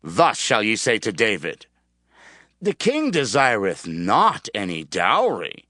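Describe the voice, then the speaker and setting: A man's voice: lowish voice, huge pitch swings, slow pace, pushed and bright, dry recording, studio quality, full character voice. A man playing a jealous, scheming king in a dramatized scripture audio, with a grave, commanding, theatrical delivery.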